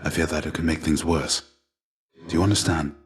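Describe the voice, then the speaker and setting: A fallen Greek hero turned loyal mentor in a video game. His voice sits low, and he speaks softly in measured, formal, old-fashioned phrases. He carries a gentle melancholy and an intimate, confiding manner. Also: steady tone. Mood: solemn, confiding. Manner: soft-spoken, formal, archaic phrasing.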